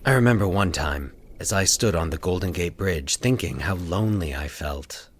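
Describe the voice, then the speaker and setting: A man playing a solitary, sinister man in a horror story, solemn, measured and calculating. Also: sits low, animated, a little hiss or hum.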